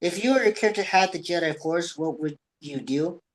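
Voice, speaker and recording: A woman interviewer asking questions in a hesitant, halting way. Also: on the low side.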